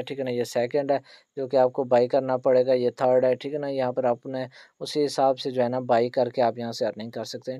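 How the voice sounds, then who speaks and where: A man's voice: mid-range voice, dark tone, no echo. A man hosting an online tutorial, narrating step by step in an instructional way with frequent tag questions. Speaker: host of an online earning tutorial channel. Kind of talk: screen-recorded tutorial narration.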